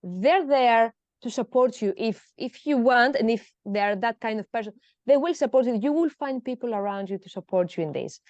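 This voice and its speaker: A woman's voice: on the high side, expressive, normal speed, a little mellow, no room sound, dull audio. A woman in her thirties from Greece, speaking as a podcast guest in a hesitant, emotional way with many fillers. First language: Greek. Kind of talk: personal interview.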